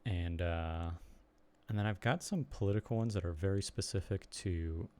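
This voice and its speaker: A young man with a Chicago accent, chatting casually and haltingly to viewers on a live stream from his computer desk. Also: low-pitched, warm tone. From Chicago area, United States. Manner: rambling, halting, lots of ums and restarts. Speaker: streamer and blogger.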